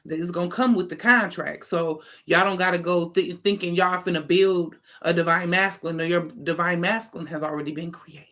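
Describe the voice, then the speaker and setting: A woman's voice: on the low side, fairly even intonation, fairly quick, few pauses, fairly bright tone. An American woman giving an informal, improvised tarot reading as an online host, talking in an emphatic, slangy, conversational way with casual swearing.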